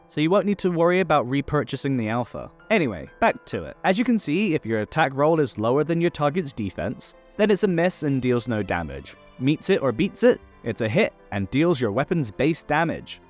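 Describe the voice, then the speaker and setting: A man presenting a tabletop game rules guide in a methodical, scripted explainer style. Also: a little high. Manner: clear, methodical instructional delivery. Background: background music.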